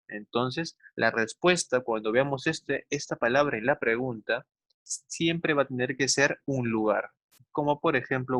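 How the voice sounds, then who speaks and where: A man gives an instructional English lesson. His voice sits at a medium pitch in a dry recording with little room sound. He carefully stretches out the English examples and regularly tags his explanations with short checking questions. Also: fairly bright tone, no room sound. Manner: didactic, slowly enunciates English examples, often seeks agreement with tag questions. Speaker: English teacher. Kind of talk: instructional online class for children.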